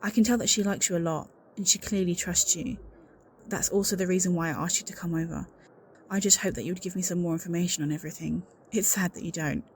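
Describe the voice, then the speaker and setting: A woman's voice: on the low side, steady tone, fast, slight background noise, close to an everyday voice. A woman playing an anxious, suspicious secretary in an audio roleplay, speaking in an earnest, confiding and insistent way. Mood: wary, confiding. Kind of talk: scripted audio roleplay acting, one-sided conversation.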